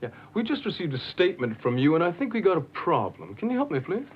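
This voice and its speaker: A calm office manager speaks in a measured, polite but firm way, his voice a bit high and lively. Muffled old film track. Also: a little hiss or hum.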